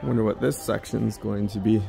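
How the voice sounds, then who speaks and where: Low gravelly man vlogging. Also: steady tone, normal speed, dark tone, faint music behind. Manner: musing, chatty remarks to himself. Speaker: vlogger giving a store walking tour. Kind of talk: casual walking-tour commentary. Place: large indoor department store. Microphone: handheld phone camera while walking.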